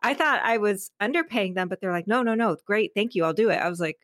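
A woman's voice: mid-range voice, animated, fast, no echo, clean studio sound. A woman chatting casually as a podcast guest, gushing and informal, often saying "like" and "you know". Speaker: interview guest, audio drama creator and narrator. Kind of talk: casual chat in a podcast interview.

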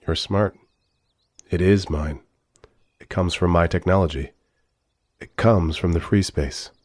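An actor playing a humanlike AI android in a sci-fi audio drama, speaking in formal, deliberate, measured phrases with a proud, wistful air. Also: male voice, sits low, darkish tone. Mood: guarded, proud.

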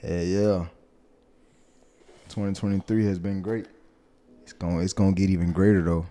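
A man with a Southern US accent chatting on a podcast in a soft-spoken, slangy way. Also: low-pitched, steady tone, normal speed. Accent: Southern US, Atlanta area. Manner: soft-spoken, says he has a soft voice. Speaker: podcast guest. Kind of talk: casual chat.

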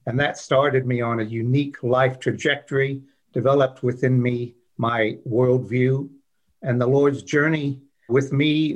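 Genial older man telling his life story. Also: medium pitch. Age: 60s. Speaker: guest, investor. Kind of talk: storytelling in an interview.

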